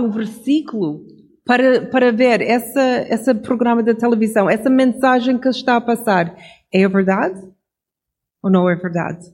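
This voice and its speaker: Measured devotional speaker. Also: mid-range voice. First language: English. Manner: reflective, measured, with small self-corrections. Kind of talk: Bible devotional message.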